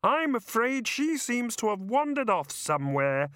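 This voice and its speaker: A man's voice: very high-pitched, big pitch swings, unhurried, a bit bright, no echo, clean studio sound, voice pushed up, full character voice. A man voicing a timid, tearful female fairy in an audiobook, meekly polite, hesitant and self-deprecating. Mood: apologetic, tearful.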